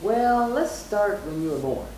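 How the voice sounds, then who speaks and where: A woman's voice: mid-range voice, sing-song, measured pace, a little mellow, faint music behind. Middle-aged mother, casual reminiscer, emphatic repetition, echoey room, off the mic. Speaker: mother being interviewed. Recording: echoey, distant mic, faint noise.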